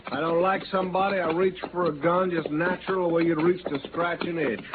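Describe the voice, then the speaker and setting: An actor playing a proud, stubborn Western outlaw with a folksy American rustic drawl in a 1950s radio drama. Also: male voice, a little high, fairly even intonation, brisk, close to an everyday voice. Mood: easygoing, folksy. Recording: noisy, tinny old-time radio recording.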